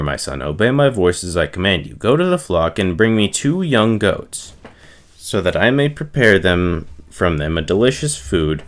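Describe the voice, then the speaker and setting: A man reading the Bible aloud from the text, giving the dialogue expressive emphasis in his own voice. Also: low-pitched. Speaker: reader.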